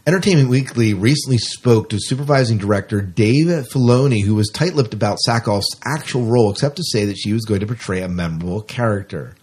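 A middle-aged man with a lowish, slightly husky voice hosts a podcast. He reads out entertainment news in a casual, chatty way. His delivery is informal, with a fan's enthusiasm. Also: quick pace, hardly any pauses, a little mellow. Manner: informal, enthusiastic fan talk. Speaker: podcast host.

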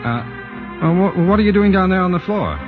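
Touchy, flustered husband, old radio sound. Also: male voice, high voice, expressive, fairly quick, over music. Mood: sheepish, apologetic. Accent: American. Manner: stammering, flustered indignation. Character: touchy, jealous, sheepish suburban husband. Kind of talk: 1940s radio comedy-drama acting. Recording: dull vintage 1940s radio recording.

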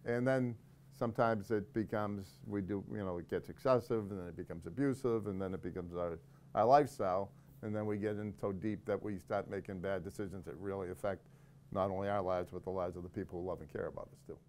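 A man's voice: sits low, fairly quick, a little mellow, a little hiss or hum. A middle-aged man, a corrections officer and podcast guest, explaining things thoughtfully in casual conversation, often restarting his sentences.

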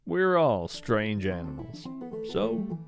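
A man hosting a podcast, reading scripted narration in an expressive, folksy manner. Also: on the low side, takes it slowly. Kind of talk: scripted narration and credits read. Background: plucked string music.

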